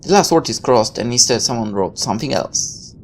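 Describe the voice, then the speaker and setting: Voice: mid-range voice, animated, brisk, slight background noise. A person reading a visual novel aloud with casual asides, now and then stumbling over a line and correcting it.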